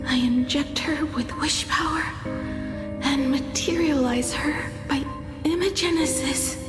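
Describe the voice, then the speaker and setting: A woman voicing a wistful, strong-willed young female painter in a video game, often in a soft, breathy murmur. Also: high-pitched, unhurried, roomy sound, long reverb tail, over music. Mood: dreamy, hushed.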